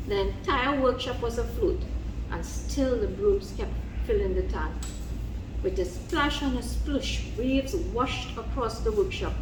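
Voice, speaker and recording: A woman's voice: a little high, distant mic. Lady reading a kids story, takes her time, dramatic, echoey room w noise. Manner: expressive, measured, dramatic delivery. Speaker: guest reader. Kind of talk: animated storybook read-aloud to children. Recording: roomy, noisy recording with background ambience.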